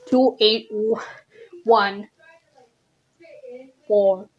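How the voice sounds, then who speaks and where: A woman reading out an improvised mock emergency alert in a halting, deliberate way, with stumbles. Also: mid-range voice, fairly even intonation, lo-fi sound.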